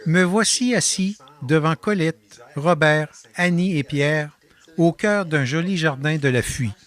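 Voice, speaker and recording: A man with a Quebec French accent narrating a documentary about his artist residency in a calm, measured voice-over. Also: on the high side.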